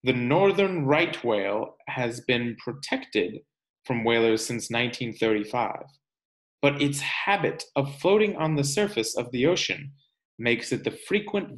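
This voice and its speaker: A man in his thirties reading a children's nonfiction picture book aloud in a deliberate way, pausing for musing asides. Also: mid-range voice, sing-song. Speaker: read-aloud reader. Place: small indoor room at home.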